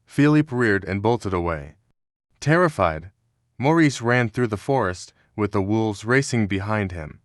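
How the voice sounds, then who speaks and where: A man's voice: on the low side, expressive, unhurried, no room sound, clean studio sound. A man reading scripted narration as the narrator of a children's storybook.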